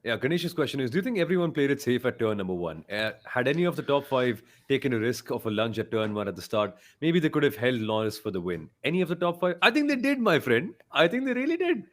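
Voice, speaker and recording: A man with a mid-pitched voice and strongly varied intonation hosts a casual sports podcast. He speaks emphatically, repeating phrases for weight. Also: very animated, fast, hardly any pauses. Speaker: podcast host reading audience comments. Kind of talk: casual motorsport discussion.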